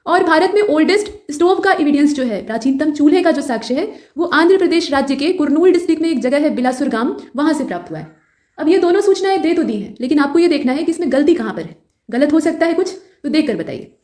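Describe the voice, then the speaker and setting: A woman's voice: sits high, lively intonation, fast, darkish tone. A woman teaching exam questions in an explanatory, instructional manner, mixing in English terms, with a roomy echo. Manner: instructional, explanatory, repeats points in English and Hindi. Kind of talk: quiz lesson with explanations.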